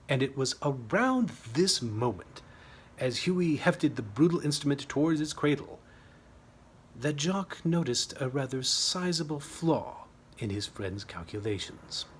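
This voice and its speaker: A man's voice: medium pitch, a little hiss or hum. A man reading his own short story as a scripted narration, with a wry, tongue-in-cheek manner.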